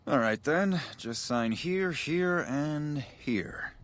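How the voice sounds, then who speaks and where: A man's voice: a little high, lively intonation, slow, a bit bright. A man voicing a weary, matter-of-fact army major stationed at a desert border outpost in a video game. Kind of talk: video game dialogue acting.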